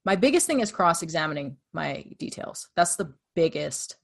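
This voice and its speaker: A woman with a low-registered voice, chatting casually as she walks through her podcast process step by step. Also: very animated, moderate pace, creaky. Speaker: true crime podcast host. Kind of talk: casual conversation.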